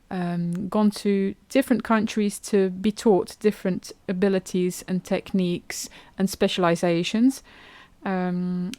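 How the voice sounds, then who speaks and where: A woman's voice: mid-range voice, clear, resonant tone, dry recording. Chatty woman hosting a podcast. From England. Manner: chatty and relaxed, with frequent ums and loose restarts. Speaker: podcast host. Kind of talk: casual solo podcast monologue.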